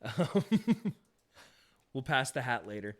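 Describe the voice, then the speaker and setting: A man's voice: medium pitch, very animated, slow. Male stream host, casual and jokey, reads notes with many fillers. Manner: joking, laughs often, reads facts off screen with fillers. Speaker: livestream host. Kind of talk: casual livestream chat.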